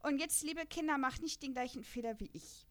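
A woman's voice: high voice, fairly quick. Chatty, bubbly woman host. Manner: lively and chatty, laughs often. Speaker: podcast host. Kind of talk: casual chat.

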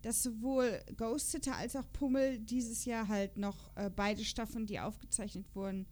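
A woman with a German accent and a fairly high voice, co-hosting a podcast. She talks in a chatty, colloquial way, as in a relaxed conversation. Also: normal speed. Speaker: podcast co-host. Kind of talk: casual podcast chat.

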